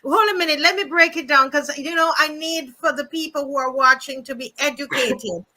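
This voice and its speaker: A woman's voice: sits high, moderate pace, pushed and bright. A woman hosting an interview, asking probing follow-up questions and recapping points for viewers. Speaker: interview host. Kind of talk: interviewing a guest.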